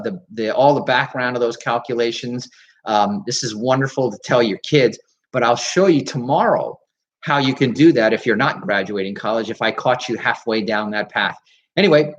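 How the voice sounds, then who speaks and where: A man hosting a live radio talk show, with an energetic, salesy way of talking and frequent fillers. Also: medium pitch, low-quality recording. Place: radio studio.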